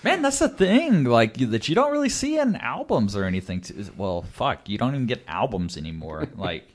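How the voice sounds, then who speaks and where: A man's voice: mid-range voice, very animated, moderate pace. A man co-hosting a horror film podcast, chatting informally in a meandering way with lots of "you know". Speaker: podcast co-host reviewing horror films. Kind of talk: casual chat, film commentary.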